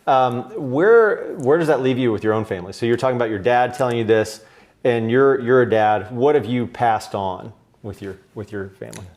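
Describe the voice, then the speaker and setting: A man's voice: mid-range voice, normal speed, darkish tone. A man interviewing a guest in a casual, conversational way, attentive and encouraging as he puts his questions.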